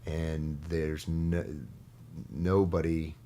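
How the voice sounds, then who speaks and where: Very deep-voiced man in casual interview. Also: level tone, extremely slow, mellow. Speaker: guest, stage performer. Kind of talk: casual studio interview chat. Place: studio. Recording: noticeable background noise.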